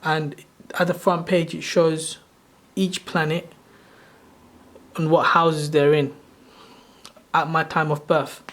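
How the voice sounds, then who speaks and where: A soft-spoken man in his thirties, originally from Sri Lanka, casually walking through his own screen in a loose, rambling way. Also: a little high, level tone, slow pace, pauses often. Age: 30s. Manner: rambling, loose and self-correcting. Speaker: channel host. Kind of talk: casual screen-share walkthrough.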